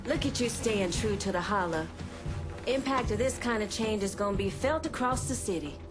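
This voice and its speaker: Woman acting as proud underworld lieutenant, medium pitch, cool, pointed, game drama, music behind. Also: expressive, moderate pace, lightly acted.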